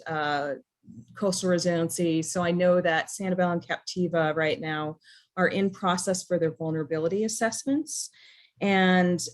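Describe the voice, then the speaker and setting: An American woman from New England talking informally through plans at a meeting, thinking aloud and hedging as she goes. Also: fairly low pitch. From New England, USA. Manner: hesitant, thinking aloud, hedging with 'sort of' and 'you know'. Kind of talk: informal spoken presentation at a meeting.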